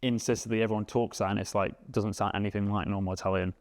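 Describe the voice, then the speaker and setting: A man, chatting casually as a guest, relaxed and rambling with lots of fillers. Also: lowish voice, fast talker. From England. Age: 20s. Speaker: guest, vegan cook. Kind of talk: casual interview chat.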